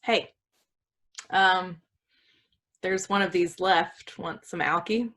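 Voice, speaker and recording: Woman acting a slow-talking, bright-voiced, flippant meddler. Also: on the low side. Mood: confiding. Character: meddling, flippant woman smoothing things over. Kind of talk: staged play reading.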